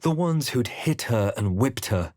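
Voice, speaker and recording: Man acting a brooding fantasy adventurer, animated pitch. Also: dry recording, studio quality, natural voice. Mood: grave, haunted. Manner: measured, dramatic, literary delivery. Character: male fantasy adventurer hero, brooding, wry, haunted. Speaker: video game player-character voice actor. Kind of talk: dramatic first-person fantasy game narration and voice lines.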